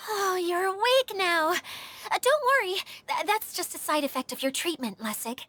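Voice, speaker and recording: A woman voicing a tiny, sweet, nervous female creature in a video game, squeaky and hesitant. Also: big pitch swings, normal speed, fairly bright tone, slight background noise, full character voice. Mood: timid, apologetic. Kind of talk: video game cutscene voice acting.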